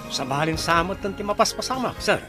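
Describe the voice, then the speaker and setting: A cheeky, teasing underling in an Ilocano radio drama. His voice is highish and very animated, with music underneath. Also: brisk.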